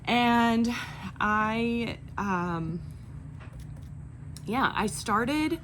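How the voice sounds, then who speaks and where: A woman's voice: fairly high pitch, expressive, very slow, fairly bright tone. A woman in her forties chatting casually in a vlog, rambling and self-deprecating as she goes. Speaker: video creator, online clothing reseller. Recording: clear background noise, room ambience.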